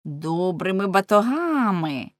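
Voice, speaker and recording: A woman's voice: mid-range voice, big pitch swings, slow, dry recording. A woman voicing bold, bawdy young tavern women in a storybook, teasing and exclaiming shrilly. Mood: threatening, gleeful.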